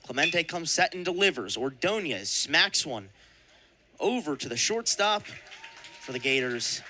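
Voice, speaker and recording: A young man calling baseball play-by-play for radio in crisp announcer phrasing with punched-up calls. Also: a little high, very animated, measured pace, bright, forceful tone, a little hiss or hum. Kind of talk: live radio sports commentary.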